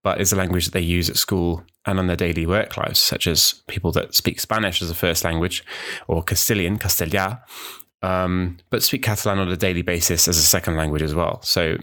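A young man from New Zealand talks through his podcast introduction, meandering and thinking aloud with plenty of ums and restarts. His voice sits very low in pitch. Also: brisk. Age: young adult. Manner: relaxed and rambling, many ums and restarts. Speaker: podcast host, language student. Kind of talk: casual solo podcast monologue.

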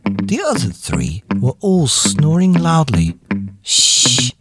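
A man reading a children's story as an expressive narrator. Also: medium pitch, a little slow, husky, dry recording. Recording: music behind the voice.

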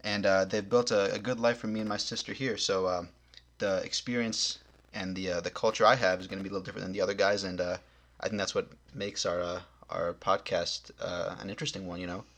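A man's voice: low-pitched, level tone, fast, fairly bright tone. A young man in his twenties co-hosting a casual podcast, speaking in an easygoing way with frequent "um" and "uh". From United States. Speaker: podcast co-host.

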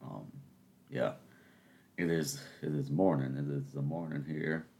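Deep-voiced rambling male podcast host. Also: steady tone, measured pace, vocal fry, darkish tone, a little hiss or hum. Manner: sarcastic, rambling, gets worked up; keeping voice down early on. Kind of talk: casual solo musing. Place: home, in the morning.

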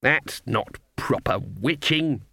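A man's voice: mid-range voice, expressive, takes it slowly, bright, forceful tone, slightly rough, no echo, exaggerated voice. A man voicing a stern, proud old woman, a bossy and curtly dismissive witch in an audiobook. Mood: disapproving. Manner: curt, dismissive, snapping. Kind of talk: audiobook character voice.

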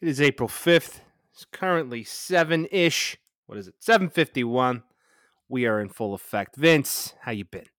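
A man's voice: mid-range voice, lively intonation, normal speed, fairly bright tone, no room sound, clean studio sound. An American man co-hosting a casual sports podcast, chatting with a profane, teasing edge. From Chicago, United States.

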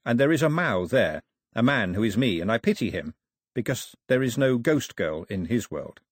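A man's voice: mid-range voice, big pitch swings, moderate pace, breathy edge, no echo, clean studio sound. A man voicing a wistful, brooding young islander.

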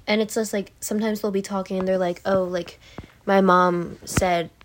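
A woman's voice: mid-range voice, little pitch movement, moderate pace, a little hiss or hum. A teenage girl being interviewed as a podcast guest, rambling with many fillers and telling stories animatedly. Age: teens. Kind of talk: casual chat.

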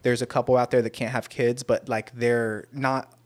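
American dude hosting a podcast, mid-pitched, earnest n rambly, lots of slang and swearing. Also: male voice, little pitch movement, normal speed. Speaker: podcast host. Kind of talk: casual chat.

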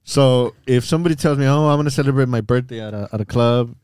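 A man's voice: medium pitch, fast, darkish tone, clear, resonant tone, no room sound, studio quality. A man in his thirties, a podcast guest, chatting casually and bluntly, swearing freely. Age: 30s. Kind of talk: casual chat.

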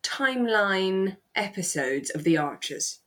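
A woman's voice: medium pitch, expressive, takes it slowly, a bit bright. A British woman chatting casually as a podcast co-host, with an informal, careful way of wording things. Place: remote call from home.

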